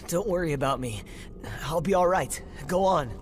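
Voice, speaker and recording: An easygoing young game companion with a slightly high voice. He talks casually and reassuringly, as someone who puts others first. There is faint noise behind him. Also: expressive, slow. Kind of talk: video game voice acting.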